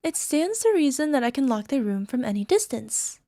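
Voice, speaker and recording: A lovesick young alchemist girl acted out by a woman speaks in a fairly high voice with no room echo. She coaxes coyly and sounds sweet with a possessive, menacing edge, in the style of an ASMR girlfriend roleplay. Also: very animated, moderate pace, warm tone, clean studio sound. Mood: smug, calm. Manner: coy, coaxing, giggly, tag questions. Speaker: voice actor.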